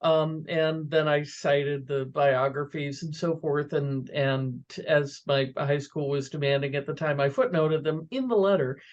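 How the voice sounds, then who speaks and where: A woman's voice: deep voice, measured pace, few pauses, darkish tone, muffled recording. A woman chatting casually as an expert fan, telling anecdotes in a wry, emphatic manner. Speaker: expert fan on interview panel.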